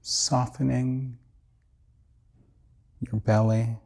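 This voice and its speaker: Male meditation guide: mid-pitched, gravel-edged, slow and measured, soothing delivery with plenty of space between phrases. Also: level tone, darkish tone. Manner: measured, soothing, with long pauses. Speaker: meditation teacher. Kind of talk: guided body scan meditation.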